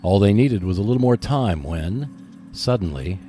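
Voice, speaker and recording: Male podcast host, lower register, very animated delivery of scripted narration. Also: moderate pace, faint music behind.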